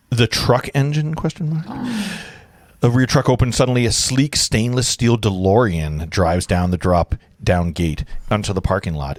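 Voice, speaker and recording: Man, lowish voice, informal script narration, halting, self-correcting, dry close sound. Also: no room sound. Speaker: host, reader of stage directions. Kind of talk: informal script narration at a livestream table read.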